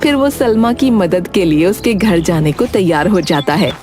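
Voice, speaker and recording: A woman's voice: fairly low pitch, lively intonation, normal speed, a little mellow, soft background music. A woman reading scripted narration for a cartoon story.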